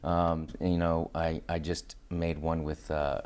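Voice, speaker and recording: A man's voice: a bass voice, flat delivery, slow, darkish tone, smooth. A man talking casually as an interview guest, informal and enthusiastic, with loosely strung phrases. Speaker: interview guest, acting studio founder and teacher.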